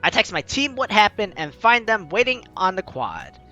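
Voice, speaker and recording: A man's voice: high-pitched, huge pitch swings, normal speed, bright, forceful tone, lo-fi sound, faint music behind. A man hosting a let's-play, reading a visual novel aloud and breaking off to laugh and comment.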